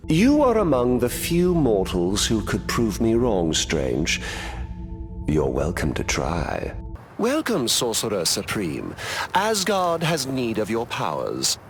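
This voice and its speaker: A man's voice: on the low side, huge pitch swings, takes it slowly, soft background music, full character voice. A man playing a scheming, arrogant trickster god-king in a video game, pompous and mocking. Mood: smug, grand.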